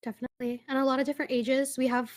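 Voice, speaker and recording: A young woman in her twenties chats loosely on a podcast, her voice sitting a little higher than most. She talks casually, full of "like" fillers, and laughs easily between thoughts. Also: little pitch movement, rapid-fire. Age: 20s. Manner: chatty, many "like" fillers, laughs often. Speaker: podcast co-host. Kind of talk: casual chat.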